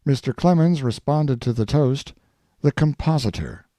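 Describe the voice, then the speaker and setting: A man reading a humorous old speech aloud as scripted narration, in a measured storytelling delivery with deliberate pauses. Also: medium pitch, dark tone, no room sound. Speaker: audiobook reader.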